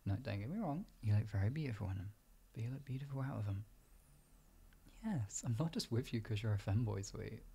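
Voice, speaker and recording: A man playing a protective, affectionate boyfriend in an improvised ASMR roleplay, speaking in a husky, soft, reassuring and intimate way. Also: fairly low pitch, lively intonation, brisk, full character voice. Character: protective, affectionate boyfriend comforting his insecure partner.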